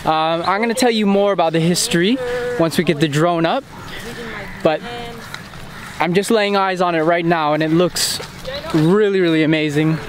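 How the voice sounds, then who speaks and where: An enthusiastic young man talks straight to a handheld camera at arm's length, his voice sitting a touch high for a man. He is outdoors at a busy site, and a clear crowd murmurs behind him. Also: moderate pace, long pauses. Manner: enthusiastic, easygoing. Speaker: travel vlogger. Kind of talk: casual vlog talk to camera. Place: outdoors at historic sites, park.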